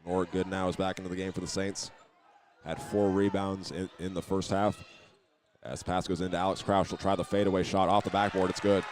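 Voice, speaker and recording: A man doing live play-by-play of a high school basketball game, sometimes restarting his phrases, with the sounds of the game behind him. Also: level tone, fairly quick. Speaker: play-by-play announcer.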